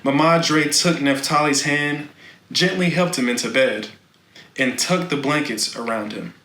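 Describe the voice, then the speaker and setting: Slow, bright-voiced man reading a story. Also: a little high. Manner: deliberate, dramatic. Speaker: storybook reader. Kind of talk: expressive scripted children's novel narration. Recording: echoey room, faint background noise.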